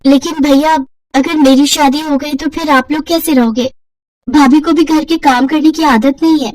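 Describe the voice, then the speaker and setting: A woman's voice: high voice, fairly even intonation, normal speed, darkish tone, dry recording, natural voice, low-quality recording. A woman voicing an innocent, dutiful young unmarried sister in a moral story, meek and worried. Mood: concerned. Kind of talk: melodramatic moral-story voice acting.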